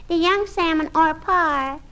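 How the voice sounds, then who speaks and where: A precocious little girl in 1940s radio comedy. The voice is extremely high and childish, the delivery matter-of-fact and pedantic, on a vintage recording. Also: takes it slowly, bright, forceful tone, heavily acted. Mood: smug, lecturing. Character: know-it-all little girl pestering the man next door.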